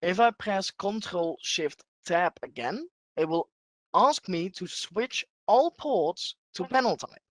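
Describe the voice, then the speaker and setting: A man presenting a live software demonstration as a webinar trainer, explaining things step by step in a halting way. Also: high voice, lively intonation, no room sound.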